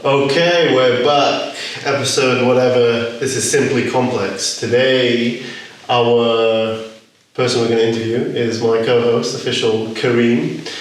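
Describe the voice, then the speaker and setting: A relaxed man hosting a casual podcast, laughing easily as his questions wander. His voice sits in the middle range and rings around a very echoey room, picked up from some distance. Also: medium pitch, unhurried, slight background noise. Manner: laughing, loose, rambling questions. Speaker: podcast host. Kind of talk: casual interview.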